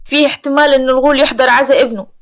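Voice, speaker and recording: A woman's voice: sits high, steady tone, very fast, a bit bright. A woman playing a stern older family patriarch in an audio story, urgent and firm, with an Egyptian accent. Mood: agitated, firm.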